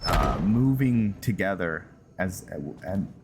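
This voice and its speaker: A man doing casual role-play commentary on a video game, halting and musing, often trailing off. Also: low-pitched, animated, over music. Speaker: gameplay host, role-player.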